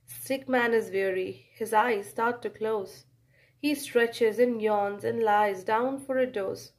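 High-voiced, slow-paced woman storyteller. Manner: deliberate, dramatic storytelling. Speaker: children's storybook reader. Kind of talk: expressive read-aloud narration for children.

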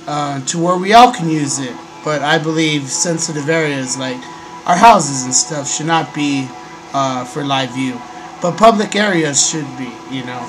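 A young man, hoarse from a cold, hosting a casual webcam vlog from a small bedroom into a desk microphone, pausing often with ums and clearing his throat. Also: fairly high pitch. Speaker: host. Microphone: desk microphone on a stand. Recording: noisy home recording.

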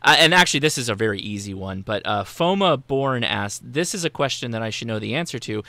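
A man's voice: medium pitch, lively intonation, fast talker, creaky, sharp tone, no room sound. An American man in his thirties hosting a livestream casually, reading out viewer questions on a close mic. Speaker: livestream host. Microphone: close mic on boom arm, with headphones.

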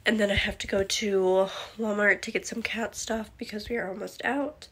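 A woman's voice: medium pitch, steady tone, normal speed, a bit bright, husky. A young woman vlogging casually to her phone camera, chatty and rambling, with a voice worn by a cold. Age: young adult. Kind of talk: casual vlog chat to camera. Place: bedroom and car. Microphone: phone held at arm's length.

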